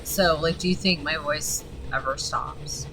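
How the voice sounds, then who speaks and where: A woman streaming a game, chatting casually with viewers and reading game text aloud; her voice is gravelly and she swears freely. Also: deep voice, measured pace, music in the background, rough recording. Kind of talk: casual gaming stream commentary.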